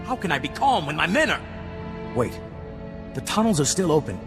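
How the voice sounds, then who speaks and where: A man's voice: fairly high pitch, big pitch swings, normal speed, over music. A man playing an embittered, urgent military captain in a game.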